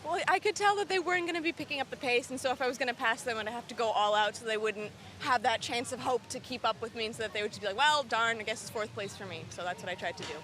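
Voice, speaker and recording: A woman's voice: on the high side, racing, few pauses, a bit bright, a little hiss or hum. A young woman, an athlete chatting fluently in a casual post-race interview. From lived long in Canada. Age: young adult. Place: outdoors at a race venue.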